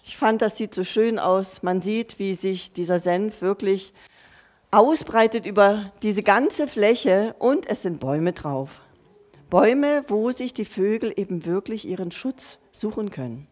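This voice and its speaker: Slow-speaking woman preaching earnestly. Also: medium pitch, animated, a little mellow. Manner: earnest, personal, addressing listeners directly; restarts and repeats phrases while thinking aloud. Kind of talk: sermon.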